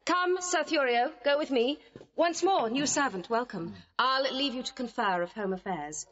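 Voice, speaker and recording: A woman's voice: high-pitched, expressive, normal speed, fairly bright tone, highs cut off. An actress playing a poised, playful young noble lady, gracious and teasing in classical Shakespearean style. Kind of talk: classical Shakespearean audio drama acting.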